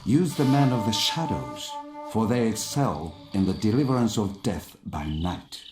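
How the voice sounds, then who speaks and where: Game cutscene narrator: grave old Japanese sage, low-pitched, measured, sententious, echoey room sound. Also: male voice. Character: grave, wise Japanese elder recounting samurai history and counsel. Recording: roomy echo over music.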